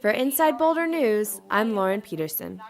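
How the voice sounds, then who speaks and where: A woman's voice: medium pitch, big pitch swings, normal speed, creaky. A woman reporter narrating a local news feature in an upbeat, polished way.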